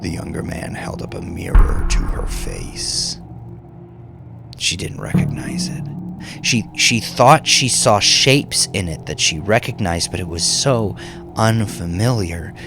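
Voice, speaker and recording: Deep, gravelly male horror narrator. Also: big pitch swings, slow. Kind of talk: dramatic horror fiction narration. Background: music under the voice.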